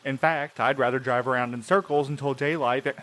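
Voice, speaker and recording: A man's voice: medium pitch, fairly even intonation, brisk, a bit bright, lightly acted. An actor playing a wary, reluctant male courier in an audio drama, with a casual, sarcastic manner. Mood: exasperated, sardonic. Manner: sarcastic, colloquial. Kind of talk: full-cast audio drama acting.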